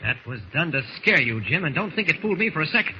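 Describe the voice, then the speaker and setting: An actor playing a firm, protective, confident leader in an old-time radio adventure, commanding and reassuring. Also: male voice, fairly high pitch, very animated, fast, bright, forceful tone, heavily acted. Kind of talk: 1940s radio acting. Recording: dull, hissy old radio recording.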